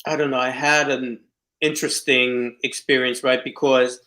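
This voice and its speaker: A man's voice: medium pitch, little pitch movement, normal speed, bright, forceful tone. A man from New York hosting a casual video call conversation, asking questions with hesitant phrasing. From New York City. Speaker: host.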